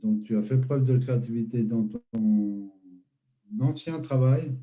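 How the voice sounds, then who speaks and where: Male host, measured and slow, dull lo-fi recording. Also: mid-range voice. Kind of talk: interview hosting.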